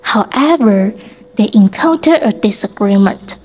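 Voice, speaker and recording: A woman's voice: medium pitch, expressive, unhurried, darkish tone, breathy edge. A woman giving scripted narration as the storyteller of a student radio drama.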